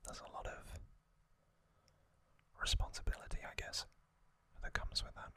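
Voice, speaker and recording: A man hosting ASMR, narrating in a soft, breathy whisper with a gentle, reflective manner and pauses, close to the microphone. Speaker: ASMR video host.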